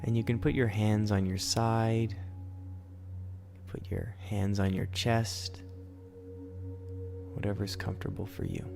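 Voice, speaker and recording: A man leading a guided sleep meditation as host, in a soothing, gentle, instructional manner. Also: fairly low pitch, flat delivery, slow, vocal fry, pauses often, music in the background.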